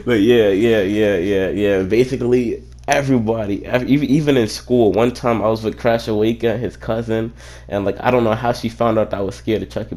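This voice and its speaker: A young man with a deep, low voice tells a childhood story to his viewers. He rambles, swears freely and keeps reaching for "what you call it" as he goes. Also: darkish tone. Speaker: streamer. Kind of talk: casual storytelling.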